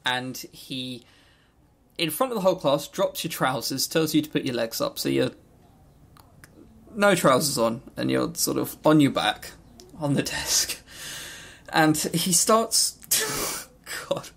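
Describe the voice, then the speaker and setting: A man co-hosting a podcast, chatting casually about games and telling stories in an animated, jokey, sweary way. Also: on the high side, slow, creaky. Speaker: podcast co-host.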